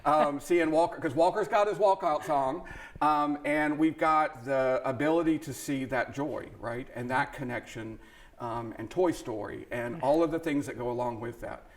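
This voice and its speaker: Guy emceeing a live charity event, voice a bit on the high side, really emphatic, speechy phrasing. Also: moderate pace. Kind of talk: live fundraiser hosting.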